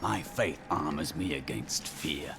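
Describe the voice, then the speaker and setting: A fearless holy knight for a game, gravelly and slow, stern, very emphatic. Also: male voice, rumbling low, heavily acted. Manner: formal, emphatic. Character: stern, devout holy warrior, fearless and unforgiving. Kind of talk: video game voice acting. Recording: music behind the voice.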